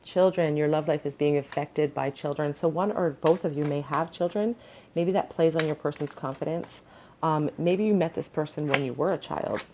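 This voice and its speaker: A woman with a low, mellow, slightly crackly voice reads tarot cards at home. She speaks earnestly and gives advice, often pausing with ums and restarting sentences. A faint hiss sits under her voice. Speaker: tarot reader. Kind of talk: pick-a-card tarot reading.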